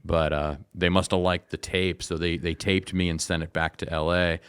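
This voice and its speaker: Deep-voiced man, laid-back storyteller. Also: level tone, moderate pace, fairly bright tone, smooth, no echo, studio quality. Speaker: interview guest, singer and musician. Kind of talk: casual storytelling in an interview.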